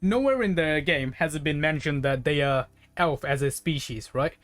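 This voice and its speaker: A streamer talks through a video game in a casual, conversational way. The speech rambles as thoughts form out loud, addressed to chat, with game music playing faintly behind. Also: mid-range voice, moderate pace, dry recording. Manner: rambling, conversational, thinking aloud. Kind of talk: casual gaming stream commentary, chatting with viewers.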